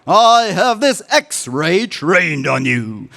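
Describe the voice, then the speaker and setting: Slow, blustering, gravelly tyrant king. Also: big pitch swings, bright, forceful tone, no room sound, clean studio sound, full character voice. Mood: menacing, triumphant. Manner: booming, menacing, blustering. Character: furious, imperious winged king of hawk people. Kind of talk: 1930s radio serial acting.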